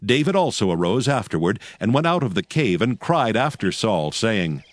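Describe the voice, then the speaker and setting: A man's voice: lowish voice, very animated, normal speed, no echo. A man narrating dramatized scripture as a solemn storyteller.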